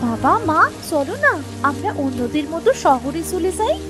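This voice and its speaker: A woman's voice: sits high, sing-song, normal speed, music in the background. A woman voicing an eager, childlike village girl who pleads with her parents in a cartoon story. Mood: pleading. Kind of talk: Bengali cartoon story voice acting.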